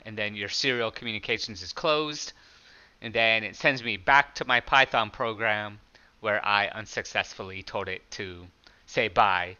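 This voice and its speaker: A man with a loud speaking voice, talking casually through his own programming project in a screen-recorded tutorial. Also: fairly low pitch, clear, resonant tone, muffled recording. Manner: plain, conversational explaining with small restarts.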